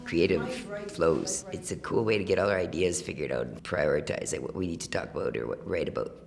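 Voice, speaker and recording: A man giving casual, reflective interview answers as a peer journalist, earnest and halting in his phrasing. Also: deep voice, lively intonation, gravelly.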